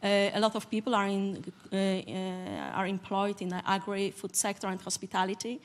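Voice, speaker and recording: Polish-accented woman, panel speaker, mid pitch, steady and plain. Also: moderate pace. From Poland. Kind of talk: conference panel talk.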